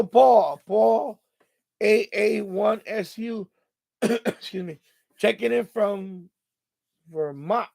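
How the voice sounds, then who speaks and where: High-pitched man with a creaky voice, streaming casually. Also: a little mellow. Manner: rambling, informal, unfiltered. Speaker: livestream host. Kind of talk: casual live-stream chat, reading and answering viewer comments.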